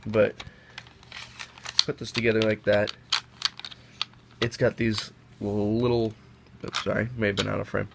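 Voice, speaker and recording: Young man, sick and sniffly, low gravelly voice, casual rambling chat, noisy home mic. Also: little pitch movement, unhurried, long pauses. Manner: chatty, rambling with self-corrections. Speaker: toy reviewer. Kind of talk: casual hands-on product comparison. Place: indoors at a table. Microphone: camera mic, off-screen above the table.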